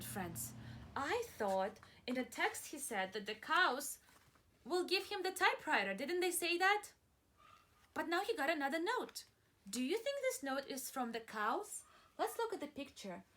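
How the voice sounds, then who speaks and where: A woman reading a picture book aloud to young children as a preschool teacher, expressively, pausing to explain simply and ask questions. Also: high-pitched. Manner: expressive, asks questions and pauses for answers.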